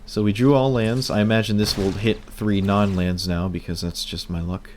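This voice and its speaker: A man streaming a card game, talking through his plays in casual commentary, hoarse and losing his voice, wry and muttering at bad luck. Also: on the low side, fairly even intonation, moderate pace, darkish tone, a little hiss or hum. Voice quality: breaking, cracking voice.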